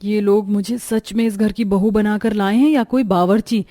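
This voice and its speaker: A woman's voice: medium pitch, fairly even intonation, moderate pace, dark tone, soft background music, lightly acted. A woman voicing an earnest, often complaining young daughter-in-law in a Hindi cartoon story, dutiful but overworked. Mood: exasperated.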